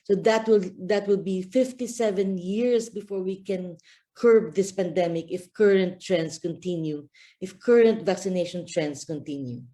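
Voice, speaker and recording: A woman's voice: fairly low pitch, moderate pace, mellow. A woman from the Philippines giving an earnest talk at a press briefing over a video call, with frequent fillers. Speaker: guest speaker, campaign manager.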